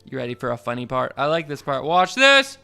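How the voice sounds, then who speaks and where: Animated man reading picture books aloud, bright voice. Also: mid-range voice, gravelly, clean tone, soft background music. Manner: playful, expressive, drawn-out delivery. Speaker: host of children's read-along show.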